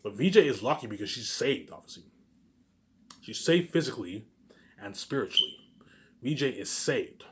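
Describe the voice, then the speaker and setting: A man's voice: mid-range voice, very animated, moderate pace, pauses often, background noise. A man in a rambling informal monologue to viewers, boastful and circling back over the same phrases. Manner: boastful, self-aggrandizing.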